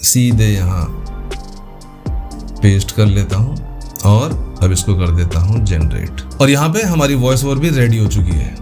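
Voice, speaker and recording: A man hosting a casual step-by-step software tutorial, explaining informally and thinking aloud as he demonstrates. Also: lowish voice, lively intonation, a little slow, music in the background. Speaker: tutorial host.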